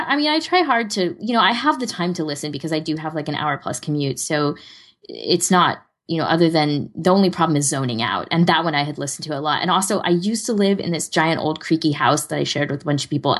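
A woman's voice: deep voice, lively intonation, a little fast, fairly bright tone. A woman co-hosting a podcast, chatting casually in a rambling, self-deprecating way. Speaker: podcast co-host.

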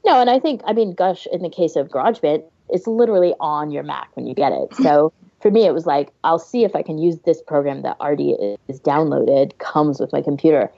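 A woman's voice: sits low, lively intonation, fairly quick, vocal fry, hardly any pauses, dark tone, no echo. A woman co-hosting a podcast in casual chat, on a slightly dull, lo-fi recording. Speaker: podcast co-host.

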